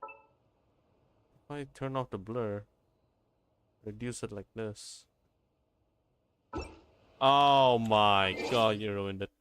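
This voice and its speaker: A man's voice: on the low side, drawn-out words, long pauses, a bit bright, slight background noise. A man livestreaming a game, chatting casually and informally, often thinking aloud and repeating his phrases. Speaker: game streamer. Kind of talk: casual livestream gameplay chat.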